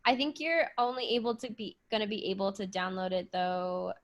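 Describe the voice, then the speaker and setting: A woman's voice: medium pitch, fairly even intonation, fairly quick. A woman performer on a podcast, talking in casual, conversational chat.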